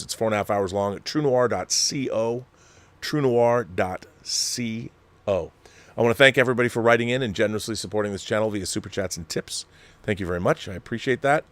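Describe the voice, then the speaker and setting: A man's voice: fairly low pitch, a bit bright, dry recording. An American man in his fifties hosting a casual, rambling livestream chat, speaking emphatically and insistently and often repeating phrases. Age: 50s. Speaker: online show host and film producer.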